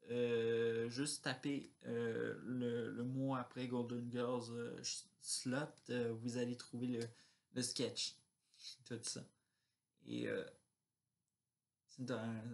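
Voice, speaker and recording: A man talks casually to his vlog viewers at a slow pace. His delivery is halting and rambling, as he searches for words and lets sentences trail off. His pitch sits in a middle male range. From Quebec. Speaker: vlogger.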